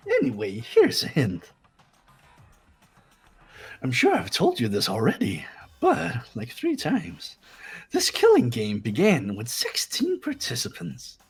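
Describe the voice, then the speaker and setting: A man voicing a mischievous, taunting robotic bear mascot in an amateur game dub, raspy and theatrical. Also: on the high side, full character voice. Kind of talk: amateur voice acting in a visual novel playthrough.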